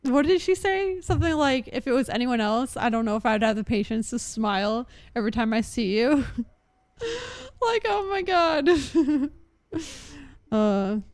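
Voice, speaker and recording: A woman's voice: fairly high pitch, lively intonation, measured pace, no room sound. A woman livestreaming a video game, chatting casually and reacting in a giggly way. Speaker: game streamer. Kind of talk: casual livestream chat while playing. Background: game music.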